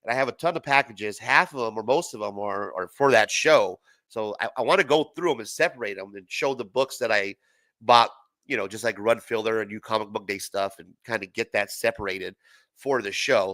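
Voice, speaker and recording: A congested man in his forties runs a casual live watch-party stream, mixing play-by-play with chatter and the odd curse. His voice comes across bright and forward. The sound is close and dry, with no room around it. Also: on the low side, a little fast, dry recording. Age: 40s. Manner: loose, profane, chatty, laughs at his own jokes. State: congested from a cold.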